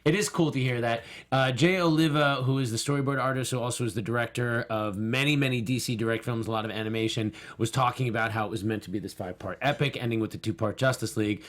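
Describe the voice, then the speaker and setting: Middle aged guy, normal pitch, kinda excited, chatting on a panel podcast in a studio. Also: fast, few pauses. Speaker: podcast co-host. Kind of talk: casual panel chat. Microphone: close studio mic with foam cover.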